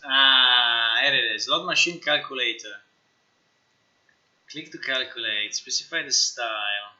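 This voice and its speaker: Sharp-voiced man chatting on a livestream. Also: medium pitch, dull audio. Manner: informal, excitable, repeats words and phrases. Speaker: live streamer, game developer. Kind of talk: casual livestream chat, thinking aloud while working.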